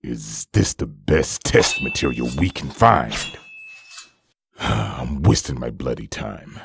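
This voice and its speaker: A man playing a cocky, bloodthirsty super-soldier villain in a comic dub, with a deep growling rasp and scornful manner. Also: animated, takes it slowly, slight background noise, heavily acted. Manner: arrogant, scornful.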